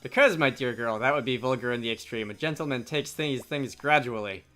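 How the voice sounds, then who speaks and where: A man's voice: medium pitch, brisk, bright, forceful tone, faint music behind, natural voice. A man voicing a breezy, worldly Scottish wizard who is fond of drink, with a jovial, opinionated manner. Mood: mock refined.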